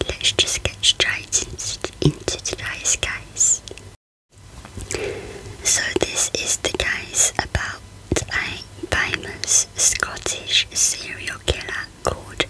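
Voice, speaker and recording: A woman hosting a true crime channel, reading out a case in a hushed ASMR whisper. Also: slow, rough recording.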